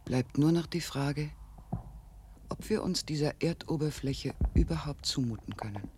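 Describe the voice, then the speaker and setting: A man playing a grave, brooding survivor in a 1970s radio drama, with measured, formal phrasing. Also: on the high side, lightly acted. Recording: faintly noisy 1970s radio recording.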